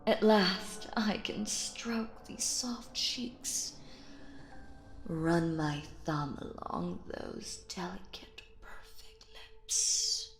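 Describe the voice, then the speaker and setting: A woman playing a possessive, sinister stage magician in a roleplay audio drama, coaxing theatrically and slipping into breathy whispers. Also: mid-range voice, lively intonation, very slow, echoey room, slight background noise, exaggerated voice. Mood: tender, possessive. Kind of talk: roleplay audio drama, intimate hypnotic monologue.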